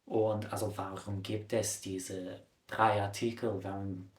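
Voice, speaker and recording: Chatty low-voiced man in a roomy echo. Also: flat delivery, slow. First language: English. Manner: chatty, corrects his own slips with a laugh. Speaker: language vlogger. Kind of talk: casual explainer to camera.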